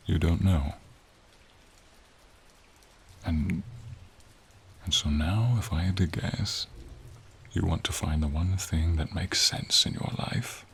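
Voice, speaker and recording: A man voicing a gentle, wise, lonely dragon in a slow, intimate ASMR fantasy roleplay, with a deep, gravelly, breathy voice and measured, pensive, formal phrasing. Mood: pensive, encouraging. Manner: measured, pensive, slightly formal, with frequent pauses. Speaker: voice actor in roleplay audio. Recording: rain or ambient noise behind. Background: light rain, outdoor ambience.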